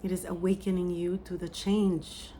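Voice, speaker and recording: A woman with a Filipino accent, a psychic medium giving an oracle reading and channeling a message in a slow, soft, meditative way. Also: lowish voice, level tone, slight background noise. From Philippines.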